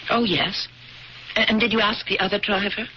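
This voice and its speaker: A nervous, tremulous wife, played by an actress in a vintage radio mystery. The broadcast sounds muffled and lo-fi, with a clear hiss running underneath. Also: female voice, mid-range voice, steady tone, normal speed, lightly acted. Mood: uneasy. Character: shaken married woman after a car crash, nervous. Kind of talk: 1970s radio drama acting.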